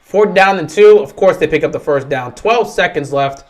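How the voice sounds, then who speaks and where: A young man with a sore, hoarse voice, giving casual live commentary on a football game he is streaming, resigned and frustrated. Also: on the high side, expressive, normal speed. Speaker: livestream host.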